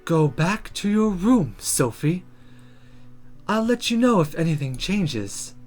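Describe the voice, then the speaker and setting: A man voicing a stern, measured female magic-school teacher in a visual novel. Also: high-pitched, big pitch swings, a little mellow, soft background music, full character voice. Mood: firm, subdued.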